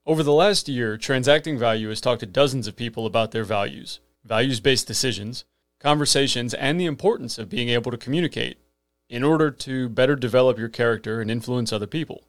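This is a millennial man hosting his own podcast, speaking in an earnest, scripted way. His voice sits at an ordinary middle pitch. The sound is close and dry, with no room around it. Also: fairly quick, dry recording. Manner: earnest, rhetorical, emphatic lists. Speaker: podcast host.

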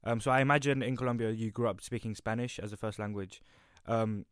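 A man's voice: lowish voice, steady tone, clean tone, no room sound, clean studio sound. A young man co-hosting a casual podcast, asking questions and tossing in jokes. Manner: joking, quick interjections. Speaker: podcast co-host.

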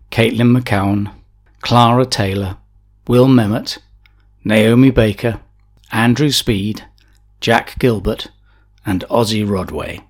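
An announcer reading scripted closing credits for an audio production. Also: medium pitch.

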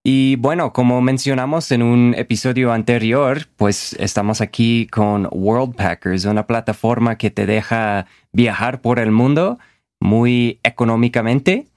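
Co-presentador estadounidense de podcast que conversa en español con tono relajado y expresiones coloquiales. La grabación es seca, sin eco. Su pronunciación delata que el inglés es su lengua nativa. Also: male voice, medium pitch, measured pace, no echo, clean studio sound. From United States. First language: English. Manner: relaxed, easygoing, sprinkles in Mexican slang. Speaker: podcast co-host. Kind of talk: casual chat.

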